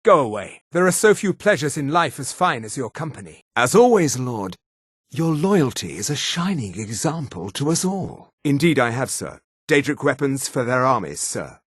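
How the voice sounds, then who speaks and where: An actor voicing a haughty, sneering high elf official in a fantasy video game, speaking in a pompous, condescending, precise manner. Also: male voice, sing-song, normal speed, breathy edge, dry recording, studio quality. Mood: disdainful. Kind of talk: scripted fantasy game dialogue.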